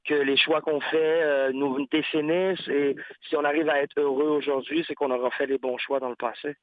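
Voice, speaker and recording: A reflective man in a relaxed interview chat, his voice slightly high for a man. He often restarts his sentences while he searches for words. The sound comes through dry and tinny, like a phone line. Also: normal speed, no room sound. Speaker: guest artist, rapper and painter. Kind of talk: casual interview conversation.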